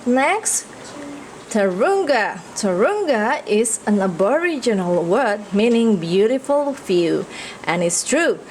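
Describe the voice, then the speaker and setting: Female children's read-aloud storyteller, higher voice, unhurried expressive delivery, slight background noise in the recording. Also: rough recording. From Indonesia. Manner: expressive, pausing to ask listeners questions.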